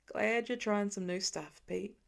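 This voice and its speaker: Woman voicing a gruff, teasing farmer dad, creaky. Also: medium pitch, unhurried. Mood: gentle. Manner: grousing, hammy mock indignation.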